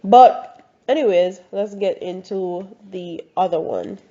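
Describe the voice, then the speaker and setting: A woman's voice: mid-range voice, slow, dark tone, highs cut off. A Jamaican woman, congested from a cold, reads news stories aloud and breaks in with blunt, opinionated comments of her own. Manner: blunt, opinionated asides between read-out reports.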